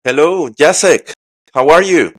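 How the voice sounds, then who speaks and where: A man hosting a casual conversational interview, speaking a little haltingly and repeating phrases. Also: fairly high pitch, expressive, faint music behind. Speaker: interview host.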